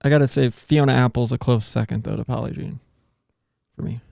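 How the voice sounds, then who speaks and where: A man's voice: medium pitch, steady tone, a little fast, gravelly, a little mellow, no room sound, studio quality. A man hosting a casual podcast, chatting and bantering in slangy speech with plenty of "dude". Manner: slangy, says "dude" often. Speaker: podcast host. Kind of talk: casual banter about music with co-hosts.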